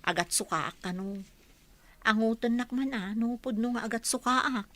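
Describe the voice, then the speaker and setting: Give a jealous, easily hurt Ilocano village wife, acted by a woman for a comedy radio drama. Her voice sits fairly high and has a bright, forceful edge. The sound is close and dry. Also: normal speed, no room sound. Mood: glum.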